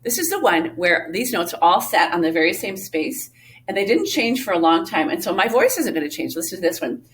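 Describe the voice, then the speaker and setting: A woman's voice: on the low side, sing-song, brisk, a bit bright, a little hiss or hum. A woman music teacher giving a recorded lesson to young children, explaining patiently step by step. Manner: sings short phrases to show melody.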